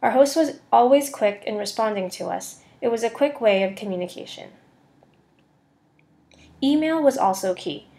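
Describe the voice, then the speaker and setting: A young adult woman with a fairly high voice narrates a prepared slide presentation. She speaks as a student presenter, reading from a script. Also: lively intonation, moderate pace, long pauses, warm tone.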